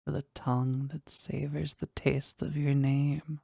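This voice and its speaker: A woman playing a powerful, jealous demon devoted to their master in an intimate audio roleplay, speaking deliberately and intensely in a husky, breathy voice. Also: little pitch movement, mellow, dry recording, studio quality, heavily acted. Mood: adoring, yearning. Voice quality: husky, breathy, low creaky voice. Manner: slow, deliberate, intense, dramatic.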